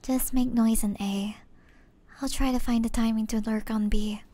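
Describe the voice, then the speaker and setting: A woman playing a sweet, affectionate young gamer girlfriend in a soft-spoken, gentle, hesitant ASMR roleplay. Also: a little high, steady tone, moderate pace, lightly acted. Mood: cheerful, encouraging. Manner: gentle, cooing, giggly, hesitant.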